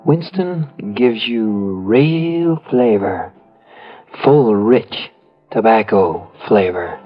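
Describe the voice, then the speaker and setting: Slow, deliberate American male radio announcer. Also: mid-range voice, lively intonation, soft background music. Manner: deliberate, emphatic phrasing. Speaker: commercial announcer. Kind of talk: 1950s radio cigarette advertisement. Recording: muffled old radio recording.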